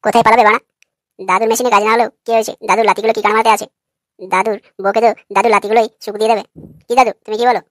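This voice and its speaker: A woman's voice: a little high, fairly even intonation, very fast, no echo, clean studio sound, close to an everyday voice. A woman playing a brash, scheming young male prankster in a comic cartoon, pushy and foul-mouthed. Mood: brash, mocking.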